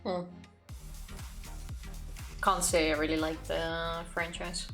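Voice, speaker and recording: A woman's voice: sits low, level tone, takes it slowly, fairly bright tone. A woman streaming, in casual chat before a game, with a blunt, dryly joking way of talking. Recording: music playing behind the voice. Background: music.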